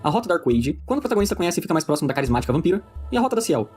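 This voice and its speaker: A man's voice: a little high, very animated, very fast, darkish tone, breathy edge. A Brazilian man narrating a scripted video essay with casual slang. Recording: music under the voice. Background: background music.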